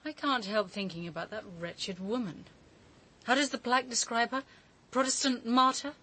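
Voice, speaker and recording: An actress in a radio drama playing a forceful, indignant woman with a blunt, emphatic way of speaking. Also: fairly high pitch, normal speed. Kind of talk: BBC radio drama dialogue.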